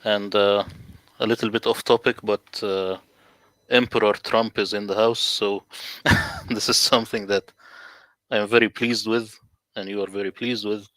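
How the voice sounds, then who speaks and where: A man from the Middle East who speaks Arabic natively, talking as a guest over a video call in a deliberate, emphatic, lecturing way. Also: lowish voice, flat delivery, a bit bright, no echo. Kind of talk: casual livestream conversation.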